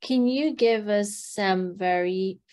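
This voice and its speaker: A woman's voice: medium pitch, takes it slowly, a little mellow. An older woman asking a question as an attendee in an online session. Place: indoor room on a video call.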